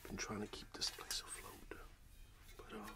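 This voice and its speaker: A middle-aged man in a soft-spoken ASMR roleplay as a friendly candy shop owner, talking gently and unhurriedly close to the microphone. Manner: gentle, whispery, unhurried customer service.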